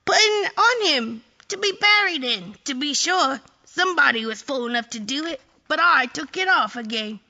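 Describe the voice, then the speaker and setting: An actress playing a brazen, callous Victorian thieving woman in an audio drama, shrill, defiant and unashamed. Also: lively intonation, moderate pace, hardly any pauses, bright, forceful tone, exaggerated voice.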